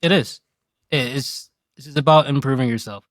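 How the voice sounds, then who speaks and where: A man in a casual interview, talking candidly and reflectively in colloquial language. His voice sits at an ordinary male pitch. The sound is dry, with no room around it. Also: normal speed, no echo. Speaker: guest, game streamer.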